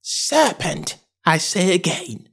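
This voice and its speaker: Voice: medium pitch, big pitch swings, slow, gravelly, a bit bright, slightly rough, pitched above the performer's own voice, heavily acted. A storybook reader voicing a frantic, scolding mother pigeon with a querulous, shrieking, contemptuous manner. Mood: alarmed, accusing. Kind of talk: storybook character acting.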